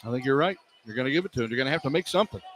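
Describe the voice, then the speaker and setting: A man's voice: medium pitch, expressive, very fast, fairly bright tone. A man calling live basketball on the radio as play-by-play announcer, describing the action in clipped running phrases. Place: indoor sports arena. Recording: live arena broadcast with background noise.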